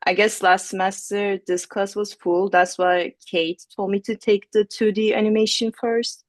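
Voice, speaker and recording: A woman's voice: medium pitch, little pitch movement, normal speed, no echo. A young woman from Turkey introducing herself as a student on a video call, plain and a little unsure. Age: young adult. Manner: plain, brief, slightly unsure. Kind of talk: self-introduction in class.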